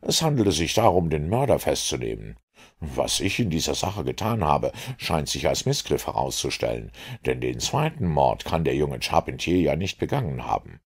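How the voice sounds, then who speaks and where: A man's voice: extremely low pitch, big pitch swings, normal speed, husky, full character voice. A man doing an impatient, blunt police inspector. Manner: blunt, pressing.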